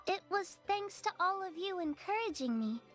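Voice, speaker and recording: Frail, high-voiced little girl. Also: expressive, moderate pace, a bit bright. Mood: subdued, earnest. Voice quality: small, childlike. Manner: hesitant, polite, vulnerable. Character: frail, timid young girl, brave survivor of captivity. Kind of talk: video game voice acting. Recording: game music underneath.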